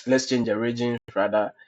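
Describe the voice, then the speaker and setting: A man with a cold hosting a screen-recorded cloud lab tutorial, explaining step by step with many "okay, so" fillers. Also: mid-range voice. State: has a cold. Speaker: tutorial host. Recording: dull lo-fi screencast audio.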